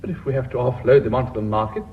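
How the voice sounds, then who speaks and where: A man's voice: mid-range voice, very fast, natural voice. An actor playing a worried, protesting businessman in a radio drama. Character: anxious, protesting British businessman. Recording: thin, phone-like vintage radio recording.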